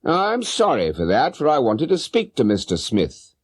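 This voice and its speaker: A man voicing a shrewd, courteous British gentleman detective in an audiobook, with a clipped, probing manner. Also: medium pitch, huge pitch swings, normal speed, no room sound, studio quality, voice pushed up. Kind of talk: audiobook character voice.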